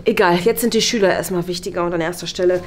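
A woman's voice: fairly low pitch, quick pace, lightly acted. A woman acting a condescending, exasperated former headmistress in a comedy sketch, lecturing pointedly. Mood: scheming. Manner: pointed, lecturing, ends phrases with "ne?". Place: small bright meeting room.

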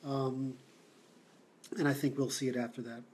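A man from Seattle hosting a livestream, answering comments in a halting, blunt way with many fillers and restarts. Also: medium pitch, level tone, a little mellow, a little hiss or hum. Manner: blunt, opinionated. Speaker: livestream host.

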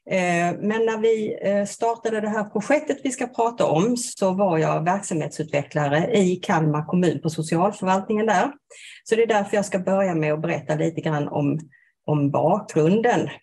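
A woman's voice: on the low side, darkish tone. A woman giving a seminar presentation over a video call, speaking hesitantly with many fillers and restarts. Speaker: development leader for children and youth.

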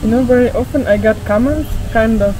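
A woman's voice: fairly high pitch, brisk, rough recording. A young woman with a Russian accent interviewing in simple, broken English, recorded in an outdoor resort pool on a camera mic. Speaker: interviewer, vlogger. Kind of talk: casual interview. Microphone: camera mic, medium distance. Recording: outdoor pool with clear background noise.

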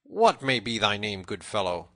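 A man's voice: on the low side, big pitch swings, normal speed, fairly bright tone, no echo, clean studio sound. A man voicing a bold, merry, teasing outlaw leader with exuberant, old-fashioned storybook speech. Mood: hearty, curious. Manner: exuberant, mirthful archaic speech.

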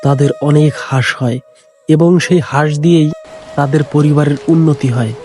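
A man narrating a Bengali village cartoon story in a plain, steady storytelling voice. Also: on the high side, normal speed, faint music behind. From Bangladesh.

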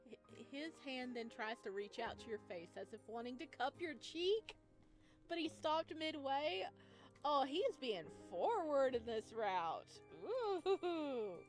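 A woman streaming a visual novel, reading the game text aloud and breaking in with expressive, exclaiming reactions. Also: high-pitched, slow pace, faint music behind. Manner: expressive, exclaiming in bursts between read passages.

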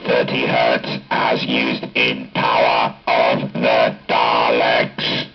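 A man speaking through a Dalek-style ring-modulator robot effect, announcing test settings in a clipped, deliberate way. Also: extremely low pitch, monotone, creaky, soft background music. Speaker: hobbyist demonstrating a voice changer. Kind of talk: product test demonstration. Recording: dull lo-fi capture from amplifier speaker.

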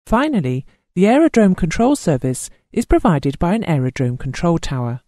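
A training course narrator reading scripted instructional material in a formal, measured way, enunciating lists carefully. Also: mid-range voice, big pitch swings, warm tone, no echo, studio quality.

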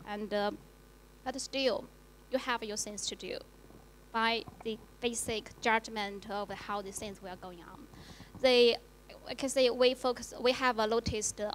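A woman journalist whose first language is Chinese, speaking haltingly with many fillers on a panel in a lecture hall with a handheld mic. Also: mid-range voice, slight background noise. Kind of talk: panel discussion.

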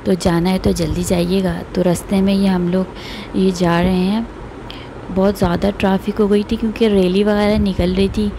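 A woman's voice: mid-range voice, little pitch movement, normal speed, warm tone, slight background noise. A woman, casually narrating her family outing for a vlog and recommending the place. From Pakistan. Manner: chatty, recommending, pious phrases. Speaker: vlogger.

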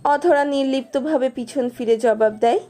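A woman's voice: sits high, moderate pace. A woman narrating a romance story expressively from a script. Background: soft music.

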